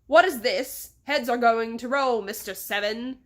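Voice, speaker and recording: Woman putting on a pompous, bellowing English gentleman boss. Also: a little high, normal speed, close to an everyday voice. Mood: fuming, scornful. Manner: imperious, scolding, theatrical.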